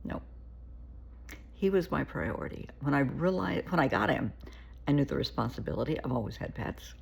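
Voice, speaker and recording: A woman therapist giving casual, earnest advice to camera, her voice gravelly and shaky from an oncoming cold, often pleading and repeating herself. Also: very deep voice, animated, normal speed. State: getting a cold.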